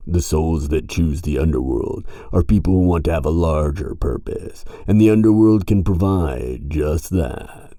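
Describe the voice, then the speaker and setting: A sardonic grim reaper, acted by a man for an audio drama, with a very low, dark and mellow voice. He talks in casual slang, brash and teasing, as if always ready to argue. The sound is close and dry. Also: a little slow, hardly any pauses, dry recording. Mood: brash, insistent. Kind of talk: audio roleplay acting.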